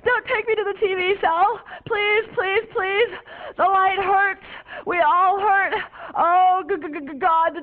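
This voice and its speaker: A woman playing a high, paranoid young raver rambling dreamily to police officers, her voice tinny and lo-fi. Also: fairly even intonation, takes it slowly. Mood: panicked. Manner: dreamy, babbling, whimpering. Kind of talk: comic stage monologue. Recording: tinny phone-like lo-fi recording.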